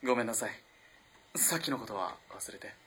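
An actor playing an earnest, lovestruck young student in an early twentieth-century Japanese radio play, halting and moving between hushed and impassioned delivery. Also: male voice, mid-range voice, a little hiss or hum, lightly acted. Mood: subdued, wistful. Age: young adult. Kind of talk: dramatic radio play acting.

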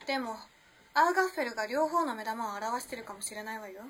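An actress playing a composed, enigmatic young modern woman in a period Japanese radio play, speaking in a cool, measured, teasing way. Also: on the high side, lively intonation, pushed and bright, exaggerated voice. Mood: coolly approving. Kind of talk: dramatic radio play acting. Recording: clear background noise and ambience.

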